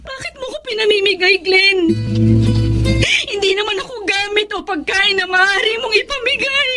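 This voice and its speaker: A wounded, devoted wife in a radio drama, in an extremely high voice. Her delivery is emphatic and pleading, thick with emotion. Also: normal speed, over loud music. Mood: hurt, reproachful. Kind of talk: Tagalog radio drama acting.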